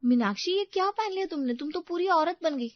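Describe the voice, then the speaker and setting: A woman's voice: very high-pitched, expressive, brisk, no room sound, voice pushed up. A woman voicing a chatty, ambitious young bride who vlogs to camera in a cartoon story. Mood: persuasive. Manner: bubbly, talking to camera. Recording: dull dry recording.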